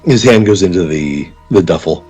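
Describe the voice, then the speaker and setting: A man running a tabletop game, improvising narration in a halting, conversational way. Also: deep voice, lively intonation, darkish tone, husky. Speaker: game master. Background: faint music.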